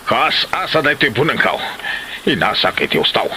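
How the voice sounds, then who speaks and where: A man's voice: mid-range voice, very animated, normal speed, noisy background, close to an everyday voice. A man playing an irate father-in-law in a comedy drama, scolding and threatening in an Ilocano Filipino accent. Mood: menacing. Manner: gruffly menacing, exasperated scolding.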